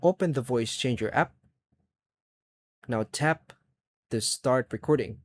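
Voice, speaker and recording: A man narrates a scripted how-to guide at a slow pace. His voice sits at an average pitch for a man. He delivers each instruction in short, measured phrases, as a tutorial host does.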